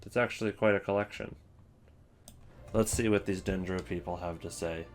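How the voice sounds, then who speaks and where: A man's voice: sits low, fairly even intonation, normal speed, soft background music. A man hosting a video game ranking, giving wry, opinionated commentary in a casual, improvised way.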